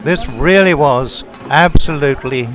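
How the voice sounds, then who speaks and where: Man, fairly high voice, scripted travel narration, measured storytelling, clear music behind. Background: background music.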